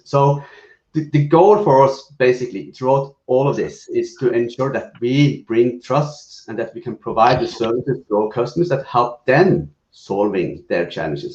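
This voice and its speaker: A man's voice: mid-range voice, darkish tone. Man, hesitant business guest, roomy echo, dull muffled sound. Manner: hesitant, many fillers and restarts. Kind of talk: conversational panel answer. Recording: roomy muffled video call audio.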